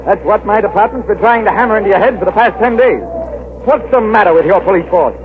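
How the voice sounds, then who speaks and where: A blunt, irate fire official, played by an actor, talking fast in a high voice in an old radio drama. It comes through a thin, phone-like band with faint hiss. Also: male voice, close to an everyday voice. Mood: exasperated. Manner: blunt, exasperated. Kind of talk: 1940s radio acting. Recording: narrow band, hiss, vintage radio broadcast.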